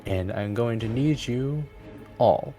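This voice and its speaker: A man narrating a tabletop fantasy game as game master, in a deliberate, descriptive storytelling manner. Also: medium pitch, dark tone, soft background music.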